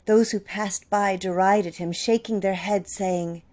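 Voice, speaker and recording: A woman reads Gospel narration for a church service. Her delivery is even and deliberate, with each phrase set apart. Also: mid-range voice. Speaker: scripture reader. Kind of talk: dramatic Bible reading in church.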